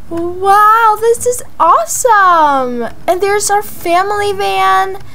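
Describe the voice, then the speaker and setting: A woman narrating a roleplay house tour in a casual, gushing manner, with excited exclamations. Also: extremely high pitch. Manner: gushing, breathy gasps of amazement, drawn-out exclamations. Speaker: gaming video creator. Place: voice-over of gameplay, music behind.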